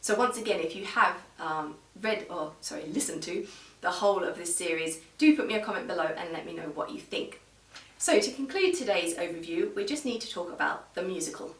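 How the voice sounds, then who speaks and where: A woman with a slightly low voice talks viewers through a review in an informal, explanatory way. She speaks in a measured fashion. The room adds a clear echo, and she sounds set back from the microphone. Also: animated. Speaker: video reviewer. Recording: roomy echo, distant microphone.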